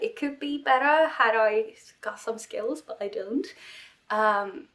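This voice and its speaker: A woman's voice: medium pitch, a bit bright. Young woman vlogging, stuffy and sniffly, rambly and self-deprecating, lots of restarts. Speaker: cosplay vlogger. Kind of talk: casual vlog talk.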